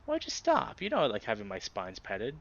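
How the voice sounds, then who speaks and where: A man's voice: lowish voice, wildly swooping pitch, fairly quick. A man voicing a wry, guarded Gila monster shift worker from a visual novel, with sardonic, profane banter. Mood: playful. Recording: dull, noisy home recording.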